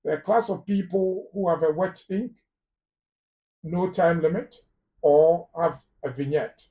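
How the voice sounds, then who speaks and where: A man's voice: a little high, measured pace, warm tone, recorded from a distance, poor audio. Emphatic Ghanaian man, a little off-mic. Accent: Ghanaian English. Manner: emphatic, repeats words for stress. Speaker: immigration lawyer guest. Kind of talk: live phone-in advice talk.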